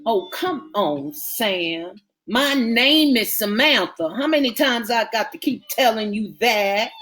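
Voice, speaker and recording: A woman's voice: fairly high pitch, animated, slow pace, a bit bright. Sassy young mother, as a narrator puts her on. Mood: teasing. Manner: sassy, teasing.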